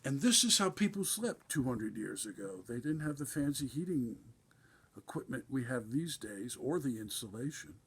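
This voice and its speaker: A man playing a kindly Santa Claus with a husky voice, reading a storybook to children with gentle, folksy, explaining asides. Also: mid-range voice, big pitch swings, normal speed, exaggerated voice. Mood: gently explaining. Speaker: guest reader at a benefit concert.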